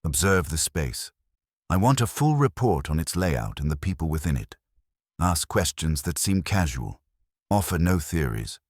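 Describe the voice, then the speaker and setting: A man's voice: very deep voice, sing-song, moderate pace, no echo, clean studio sound, heavily acted. A man voicing a commanding, cerebral detective in an audiobook, speaking in terse, formal sentences. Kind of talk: audiobook character voice.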